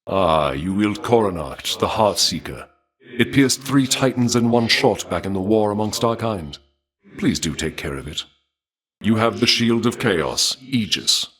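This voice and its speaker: Deep, courtly, menacing god of war. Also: male voice, a bit bright. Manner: formal, measured, archaic, suavely menacing. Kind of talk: theatrical video game character dialogue.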